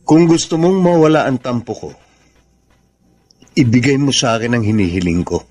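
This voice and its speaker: A man's voice: mid-range voice, very animated, moderate pace, darkish tone. An actor playing a smug, scheming male seducer in a radio drama. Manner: smug, code-switching into English.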